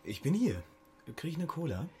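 An actor playing a laid-back, joking special agent with a laconic manner in a German radio play. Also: male voice, on the high side, sing-song, moderate pace, darkish tone. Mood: casual. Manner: laconic, casual.

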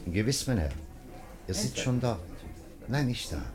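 An actor playing an obliging, courteous restaurant waiter in a 1950s German radio drama, speaking deferentially. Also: male voice, medium pitch, big pitch swings, measured pace. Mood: helpful, courteous. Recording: loud restaurant crowd behind the voice. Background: busy restaurant chatter.

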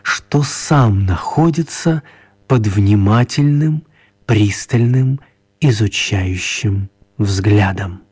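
A man narrating a scripted children's story in a measured, expressive manner. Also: fairly low pitch, slightly rough. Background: music.

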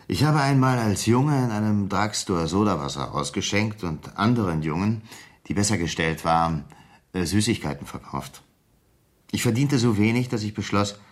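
A ruthless, embittered schemer in a 1970s German radio crime play. The voice is low and controlled. He talks with cool, commanding self-assurance and a proud, vain edge. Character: vain, bitter, ruthless male schemer hungry for respect.

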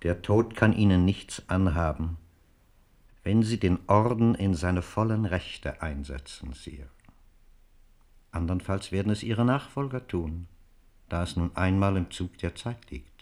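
A suave Jesuit envoy in a radio play. His voice is low and his delivery formal and measured. He insinuates with cool, calculating cynicism. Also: a little mellow. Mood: veiled menace. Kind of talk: German radio play acting.

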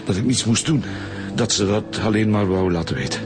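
An actor plays an uneasy Flemish husband in a radio drama. The man's voice is deep, and he speaks in halting, defensive bursts, often repeating himself. Music is heard clearly behind him. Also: close to an everyday voice. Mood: subdued, anxious. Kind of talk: naturalistic radio drama acting.

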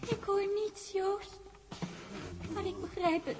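A little ghost girl in an old radio play. Her voice is very high and she speaks slowly. She is gentle, sad and protective, always soothing. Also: steady tone, noisy background. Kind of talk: 1960s radio drama acting.

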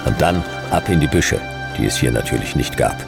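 A man's voice: rumbling low, animated, fairly quick, creaky, heavily acted. A man playing a laconic, world-weary detective in a radio play, speaking in a terse, dry, brooding way. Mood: brooding narration. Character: laconic, world-weary male hard-boiled detective narrating his adventure. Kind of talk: radio noir narration and dialogue. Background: music.

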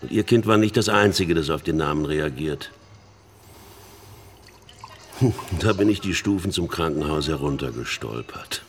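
An actor playing an embittered middle-aged man telling a haunted story in halting, emphatic phrases in a radio play. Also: very deep voice, expressive, slight background noise. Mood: agitated, rueful. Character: embittered older man haunted by his missionary sister's death. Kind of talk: dramatic monologue in a German radio play.

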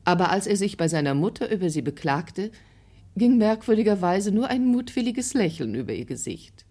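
A woman's voice: mid-range voice, big pitch swings, normal speed, mellow. A woman reading scripted literary narration as the narrator of an audio drama.

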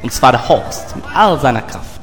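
A man's voice: medium pitch, animated, moderate pace, over loud music, natural voice. A man voicing a boisterous, mocking dwarf carnival crier who declaims in rhymes for a comic audio drama. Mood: boastful. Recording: echoing hall with crowd noise.

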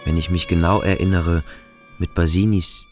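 A male actor playing a brooding, introspective schoolboy who questions himself slowly and deliberately in a literary radio drama. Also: low voice, steady tone, soft background music, lightly acted. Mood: brooding, uneasy. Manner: slow, deliberate, self-questioning. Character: brooding, introspective schoolboy pondering his own strangeness.

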